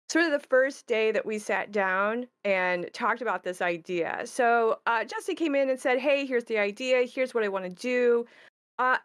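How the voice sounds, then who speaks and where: A woman who works as a game designer, talking conversationally about how a game was made. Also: fairly high pitch.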